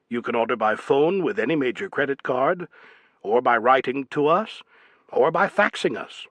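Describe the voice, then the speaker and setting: A man's voice: fairly high pitch, no room sound. A man reading a scripted announcement as an audiobook announcer.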